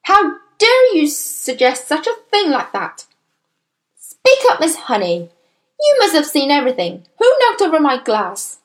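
A woman's voice: sits high, wildly swooping pitch, measured pace, pitched above the performer's own voice, exaggerated voice. A woman voicing a huge, furious, bullying headmistress in a story, roaring accusations and insults. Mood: indignant fury.

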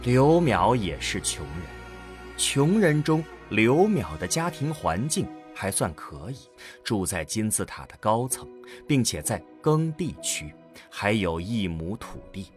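A man narrates a sci-fi audio drama in a fairly low voice. His scripted delivery is slow and dramatic, with widely varied intonation, over faint music. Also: sing-song. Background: faint background music.

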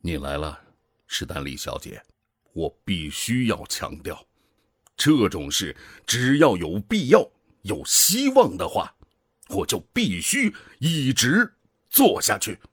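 A cold, middle-aged commander in a sci-fi radio drama. His voice is husky and low, and he argues slowly with swelling, dropping emphasis. He is measured, authoritative and persuasive, in a dry studio sound. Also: wildly swooping pitch, fairly bright tone, no echo, full character voice. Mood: firm, insistent. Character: cold, ambitious male project commander, middle-aged, coaxing and self-justifying. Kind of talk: Chinese science-fiction radio drama acting.

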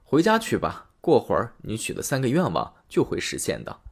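A man's voice: medium pitch, huge pitch swings, a little fast, vocal fry, slightly rough, exaggerated voice. A man voicing a cold, curt God in a storybook tale. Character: cold impatient God figure.

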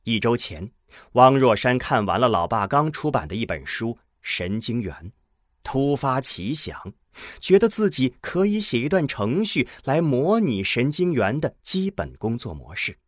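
A man's voice: medium pitch, big pitch swings, unhurried, no room sound, studio quality. A man reading scripted narration for a science fiction audio drama.

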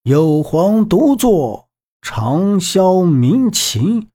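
Male narrator as elderly grandfather, serene, solemn, measured audiobook recitation, drawn-out pace, swooping intonation. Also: high voice, sing-song, dark tone, breathy edge, pitched above the performer's own voice, full character voice. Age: older. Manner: very slow, measured chanting delivery. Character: calm old grandfather reciting a meditative verse. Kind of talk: audiobook character voice.

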